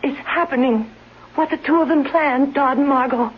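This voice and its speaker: A woman's voice: sits high, moderate pace, a little hiss or hum, lightly acted. An actress playing a desperate bedridden wife in a 1970s radio drama, pleading and agitated, convinced she is in danger. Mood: anxious, agitated. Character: desperate bedridden invalid wife fearing she is being poisoned. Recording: muffled old radio recording.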